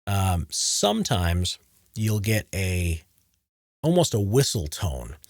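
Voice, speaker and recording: A man with a low, deep voice, casually explaining how he edits his voice-over recordings to a livestream audience from his home studio desk. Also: very animated, slow, no echo. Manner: talks over his own playback, breaking off to explain edits. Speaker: voice actor editing his own recordings. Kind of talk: casual livestream tutorial mixed with recorded narration takes. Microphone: studio mic on a boom arm.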